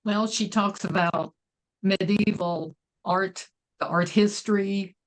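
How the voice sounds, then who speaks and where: A woman's voice: mid-range voice, steady tone, takes it slowly, rough recording. A woman answering as an interview guest, reserved and casual, with brief, tentative replies. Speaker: guest author.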